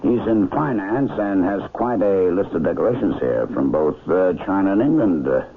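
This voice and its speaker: Deep-voiced, inquisitive island doctor. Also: male voice, expressive, moderate pace. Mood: thoughtful, curious. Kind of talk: 1970s radio drama acting. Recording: muffled vintage radio recording, faint hiss.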